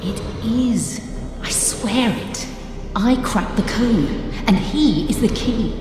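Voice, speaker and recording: A woman's voice: a little high, lively intonation, slow. A woman playing a driven, obsessive scholar in a game cutscene, intense and earnest. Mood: fervent, hopeful. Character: driven, obsessive woman scholar seeking her lost family. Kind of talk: dramatic game cutscene acting. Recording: cavernous echo, music underneath.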